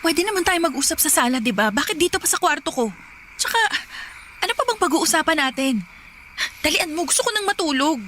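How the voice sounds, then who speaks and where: A woman's voice: high-pitched, lively intonation, sharp tone, a little hiss or hum. An actress playing a conflicted young single woman in a forbidden romance, speaking hesitantly in Filipino radio drama style. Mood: anxious, conflicted. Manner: hesitant, halting, guilty.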